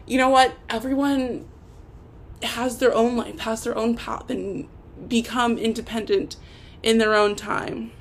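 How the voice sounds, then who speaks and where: A young woman hosting an unscripted solo podcast, talking in a rambling, hesitant, self-deprecating way. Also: medium pitch. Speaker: podcast host.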